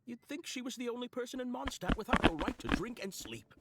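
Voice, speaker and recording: A man's voice: very high voice, very animated, quick pace, very noisy, heavily acted. A man voicing an exasperated, scolding cardinal in a video game, sarcastic and emphatic. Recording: clear game music behind the voice.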